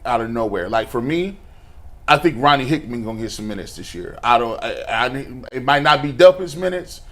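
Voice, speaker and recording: A man with a medium-pitched voice chats about sports as a panelist. He feels his way into questions in short, halting pieces, keeping everything casual. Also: fairly bright tone.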